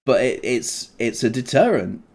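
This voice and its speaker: A man's voice: mid-range voice, big pitch swings, moderate pace, darkish tone. A man co-hosting a casual podcast, joking and laughing, with playful mock voices. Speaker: podcast co-host. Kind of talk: casual chat.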